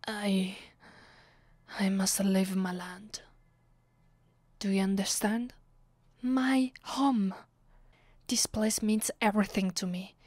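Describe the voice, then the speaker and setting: Woman acting a soft, slow, Italian-accented vampire noblewoman. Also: mid-range voice, fairly even intonation, no echo. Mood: bitter, brooding. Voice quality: soft, breathy, often dropping to a whisper. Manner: slow, dramatic, imperious. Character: aristocratic female vampire, centuries old, bitter and possessive. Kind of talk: ASMR roleplay, intimate scripted acting.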